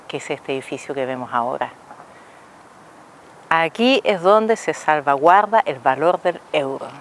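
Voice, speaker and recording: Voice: medium pitch, a bit bright, slight background noise. A travel vlogger, casually explaining city landmarks outdoors and asking the viewers questions. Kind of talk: casual travel narration to camera. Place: outdoors on a city tower deck and streets.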